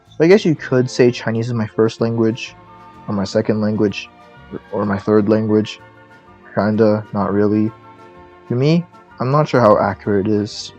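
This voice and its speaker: A man's voice: lowish voice, a little mellow. Rambling, self-mocking young man. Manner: rambling, self-deprecating, jokey asides. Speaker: YouTuber. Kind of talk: casual vlog narration.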